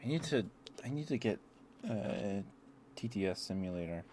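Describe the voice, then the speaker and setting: Low-pitched man rambling softly on ASMR stream. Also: expressive, moderate pace, noisy background. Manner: hesitant, meandering, apologetic. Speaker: livestreamer and ASMR host. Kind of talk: rambling ASMR stream, casual chat with viewers.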